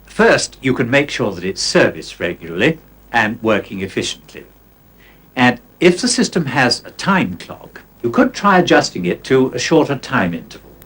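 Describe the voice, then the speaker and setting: Male information-film presenter, mid-pitched, husky, with lively intonation. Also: moderate pace. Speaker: advice presenter. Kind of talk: scripted public information film advice.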